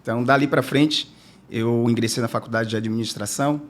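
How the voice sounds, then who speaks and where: Relaxed man. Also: mid-range voice, a little fast. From Salvador, Bahia, Brazil. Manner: relaxed, storytelling, hesitant restarts. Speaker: guest, entrepreneur. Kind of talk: storytelling in podcast interview.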